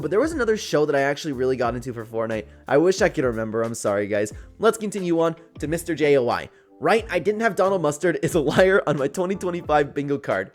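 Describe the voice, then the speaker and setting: A young man with a fairly high-pitched voice talks as a gaming commentator. His delivery is informal and chatty, as if hanging out with his viewers. Faint music plays behind him. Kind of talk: casual commentary reacting to read-out viewer posts.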